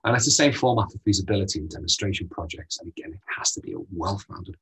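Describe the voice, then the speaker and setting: Low-voiced, very husky man presenting a webinar. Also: fairly bright tone, low-quality recording. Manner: direct, brisk, rhetorical questions, frequent ums. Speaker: space ambassador presenting a funding webinar. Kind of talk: webinar presentation over slides.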